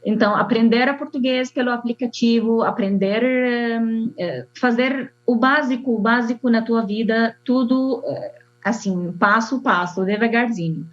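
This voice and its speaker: A young woman from Syria with an Arabic accent, an architect telling her story slowly and haltingly, with many fillers. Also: on the high side. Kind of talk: personal testimony. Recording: dull lo-fi sound.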